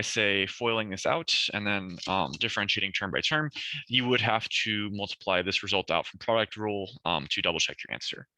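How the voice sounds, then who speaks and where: Bright-voiced young man tutoring math. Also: on the low side, no echo, low-quality recording. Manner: talks through problems step by step, self-correcting. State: losing his voice, clearing throat. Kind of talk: recorded review session lecture.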